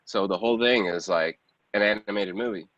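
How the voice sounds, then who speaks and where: A man with a low voice speaks casually as an interview guest. The sound is roomy and slightly muffled. His phrasing is loose and conversational. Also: brisk, a bit bright. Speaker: guest, actor and film producer.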